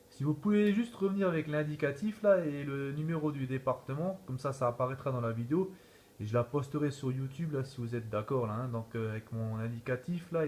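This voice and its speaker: A man's voice: medium pitch, a little mellow, a little hiss or hum. A man chatting casually as an amateur radio operator, in an easygoing way with frequent repeated tag words. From France. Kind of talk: casual radio contact chat.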